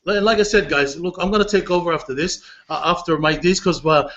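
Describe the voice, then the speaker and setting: A man's voice: fairly high pitch, fairly quick, fairly bright tone, lo-fi sound. A man with a Southern accent hosting a casual online hangout, hyped and repeating "of course" and "guys". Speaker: livestream host.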